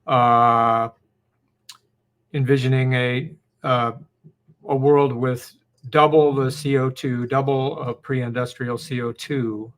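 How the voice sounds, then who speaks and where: A man's voice: mid-range voice, steady tone, slow, fairly bright tone. A man giving a public lecture, hesitant and full of fillers.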